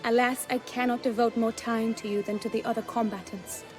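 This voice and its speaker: Gracious noble lady played by an actress, highish voice. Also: fairly even intonation, fairly quick, over music, natural voice. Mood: politely aloof. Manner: formal, courtly. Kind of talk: video game voice acting.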